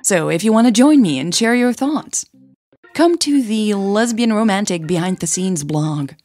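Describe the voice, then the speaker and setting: A woman's voice: mid-range voice, animated, moderate pace, a little mellow, soft background music. A woman narrating a scripted audio story as a podcast host.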